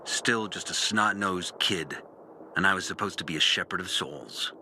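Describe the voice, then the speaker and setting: An intense, low-voiced former priest. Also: male voice, pushed and bright, slight background noise, full character voice. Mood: brooding, reflective. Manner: brooding, deliberate confessional delivery with dramatic pauses. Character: disillusioned former priest, bitter and intense, recounting his lost faith. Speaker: character narrating an audio journal. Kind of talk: dramatic video game monologue.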